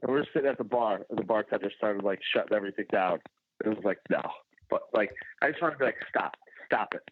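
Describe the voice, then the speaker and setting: A man's voice: on the low side, fast talker, slightly rough. A man, a chef and competition finalist, telling stories in a rambling way on a podcast and leaning on "like" constantly. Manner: rambling storyteller, heavy use of "like". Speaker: chef, show finalist guest. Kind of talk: casual podcast interview. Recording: tinny phone-like line.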